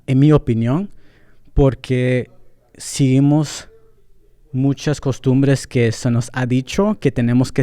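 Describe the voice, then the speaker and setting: A man's voice: medium pitch, dark tone, clear, resonant tone, dry recording, studio quality. A young adult man hosting a solo podcast, talking candidly and switching between English and Spanish with a Central American accent, with frequent fillers. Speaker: podcast host. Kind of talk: casual solo podcast monologue.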